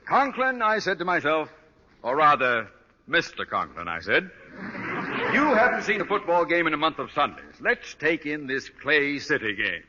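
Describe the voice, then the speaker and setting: A blustering, self-important middle-aged man in vintage radio comedy. His voice is very sharp and forceful, a little high, with formal, pompous phrasing. It rings in long reverb. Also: sing-song, normal speed, a little hiss or hum. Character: pompous, blustering middle-aged father and former army major. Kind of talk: 1940s-50s radio comedy acting. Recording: muffled old radio recording with long reverb.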